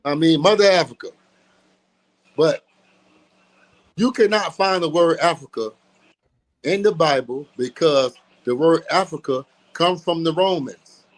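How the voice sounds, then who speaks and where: A man's voice: a little high, measured pace, pauses often, rough recording. A man teaching in an emphatic, preachy way, repeating phrases and laughing often. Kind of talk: street-teaching talk.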